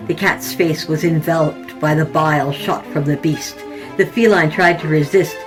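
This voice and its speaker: Low-voiced woman narrating weird horror fiction. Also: low-quality recording. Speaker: podcast host and story narrator. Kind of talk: scripted horror storytelling.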